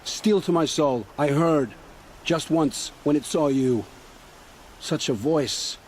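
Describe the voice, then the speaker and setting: A fearful informant, noise behind him. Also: male voice, fairly high pitch, takes it slowly, darkish tone, rough recording. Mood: awed dread. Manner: dramatic, fearful, vivid storyteller. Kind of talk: video game cutscene acting.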